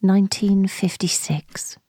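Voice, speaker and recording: A woman's voice: medium pitch, fairly even intonation, slow pace, warm tone, slightly rough, no room sound. A woman playing a devoted, tender niece in her forties in a radio play. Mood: sombre, hushed. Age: 40s. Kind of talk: radio play dialogue and monologue.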